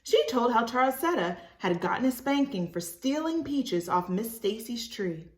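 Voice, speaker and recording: Woman, children's storytime reader, animated, talks to listeners as friends, echoey room. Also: fairly high pitch, moderate pace. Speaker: teacher reading a storybook aloud to children. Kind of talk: expressive children's storytime narration with asides.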